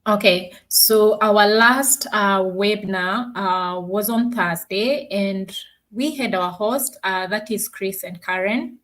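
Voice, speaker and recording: A woman from Kenya on a webinar panel, speaking in a measured way with many pauses. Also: medium pitch, a bit bright.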